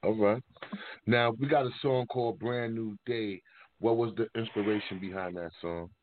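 A man's voice: fairly low pitch, steady tone, moderate pace. A man hosting a music radio show in a casual style. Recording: narrow, tinny phone-like sound.

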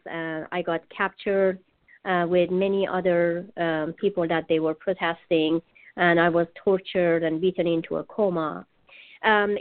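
A middle-aged woman from Iran with an Iranian accent, a native Persian speaker, telling her life story haltingly as an interview guest. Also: lowish voice. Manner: halting, searching for words. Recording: narrow tinny phone-line sound.

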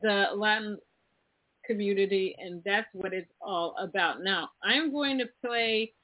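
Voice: medium pitch, a little slow, no echo. A podcast host talking casually with a guest, chatty and opinionated, telling stories with animation. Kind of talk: casual interview chat. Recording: tinny phone-like line, dry.

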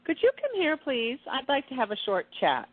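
A woman playing a gentle, knowing, reassuring angel in an amateur radio play. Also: high voice, huge pitch swings, normal speed, no echo, heavily acted. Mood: polite. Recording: dull muffled audio with clear background noise.

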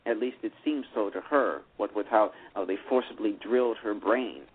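A man narrating a radio play, reading haltingly with frequent restarts. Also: lowish voice, breathy edge. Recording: tinny phone-line radio broadcast.

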